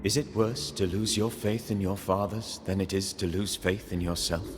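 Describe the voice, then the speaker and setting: Male actor as grave preacherly game storyteller: low, rhetorical, judging, drenched in reverb. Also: fairly even intonation, moderate pace, noisy background, natural voice. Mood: solemn, foreboding. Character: grave, moralizing male storyteller of a troubled settlement. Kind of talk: scripted dramatic narration. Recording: long reverb, music behind.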